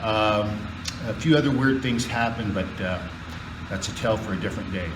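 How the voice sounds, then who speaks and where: Guy telling his own story, kinda halting, far from the mic w/ noticeable room hum. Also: fairly low pitch. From California, United States. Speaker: guest storyteller. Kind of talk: casual personal storytelling. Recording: roomy, distant mic, air-conditioner hum.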